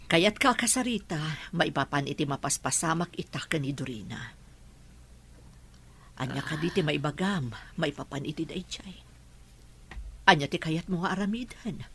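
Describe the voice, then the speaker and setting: A middle-aged Filipino mother, the madam of the house, in a radio drama. Her voice is very low for a woman, measured and earnest with a worried air. Faint noise sits behind her. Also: animated. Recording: clearly noisy, ambient background.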